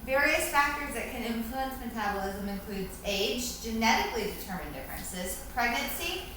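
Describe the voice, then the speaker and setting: A woman's voice: on the high side, animated, normal speed, pushed and bright. A woman reading out a scripted educational presentation as a co-presenter, formal and recited in delivery. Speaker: co-presenter answering questions. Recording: very echoey room, faint noise.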